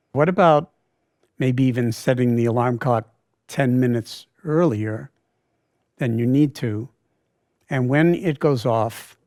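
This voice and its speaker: A man leading a guided meditation in a calm, unhurried way, often pausing mid-sentence. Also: mid-range voice, no room sound, studio quality. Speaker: meditation teacher.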